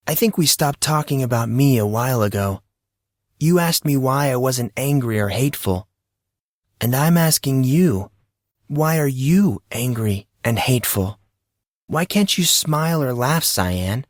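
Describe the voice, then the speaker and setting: Man voicing an intense, troubled teenage boy, pitched up. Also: no room sound, studio quality. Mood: challenging. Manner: impassioned, dramatic.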